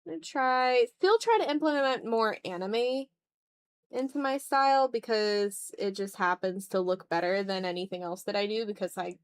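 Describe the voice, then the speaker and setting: A woman's voice: mid-range voice, normal speed, smooth. A woman livestreamer chatting casually while drawing, thinking aloud in fragments with a self-deprecating manner. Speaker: art livestreamer.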